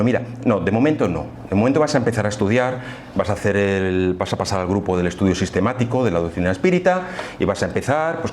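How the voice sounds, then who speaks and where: A man with an accent from Spain gives an informal lecture, speaking conversationally and haltingly, often repeating words and adding rhetorical tags. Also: on the low side, a little mellow. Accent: Spanish, from Spain. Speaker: lecturer at a spiritist association. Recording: live talk with faint background room noise.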